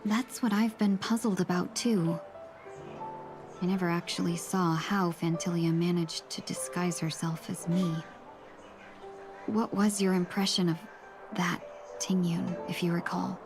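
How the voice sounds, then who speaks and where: A woman voicing a graceful, mysterious fox-eared woman in a video game, speaking softly and courteously. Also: fairly low pitch, steady tone, music in the background. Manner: soft, courteous and measured.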